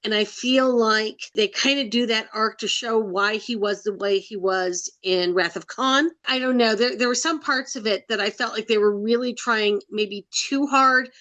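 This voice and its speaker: A woman co-hosting a casual podcast, giving measured opinions and weighing what she liked and didn't. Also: a little high, fairly bright tone, no echo. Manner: gives measured opinions, weighing her views. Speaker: podcast co-host.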